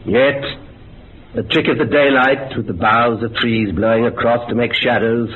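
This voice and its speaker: Grave male scientist, literary radio-drama delivery, lively melodic pitch, boxy narrow-band recording. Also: normal speed, vocal fry. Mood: awed. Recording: muffled phone-like sound with faint hiss.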